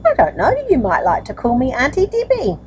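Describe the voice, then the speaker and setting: A woman's voice: sits high, huge pitch swings, brisk, darkish tone, slight background noise. An older woman talking to young children in a nurturing, grandmotherly way, as a storyteller reading books aloud. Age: older, grandmother's age. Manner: nurturing, grandmotherly, asks tag questions. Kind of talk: chatty address to young children, then read-aloud storytelling.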